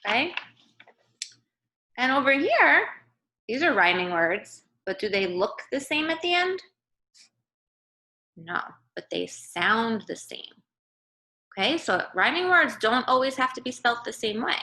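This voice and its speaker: Bright-voiced woman teaching young children. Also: a little high, sing-song, unhurried, pauses often. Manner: instructive, checks in with "okay" and "right?". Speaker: early-grade teacher. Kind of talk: read-aloud and remote lesson for young children.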